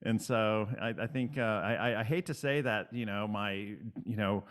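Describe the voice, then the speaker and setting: A man co-hosting an interview, an animated, self-mocking storyteller. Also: fairly low pitch, normal speed. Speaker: podcast co-host. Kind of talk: casual interview chat.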